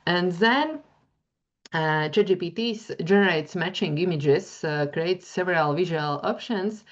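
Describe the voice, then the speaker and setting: Latvian-accented woman lecturer, slow and halting with frequent fillers, on dull lo-fi audio. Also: fairly low pitch, lively intonation. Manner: hesitant, many fillers, searching for words. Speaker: university lecturer. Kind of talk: webinar presentation, screen-share demo.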